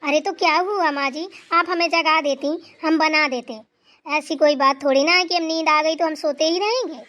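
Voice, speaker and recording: A woman's voice: high voice, moderate pace, fairly bright tone. A woman voicing a dutiful, anxious young daughter-in-law who talks eagerly and pleads. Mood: earnest.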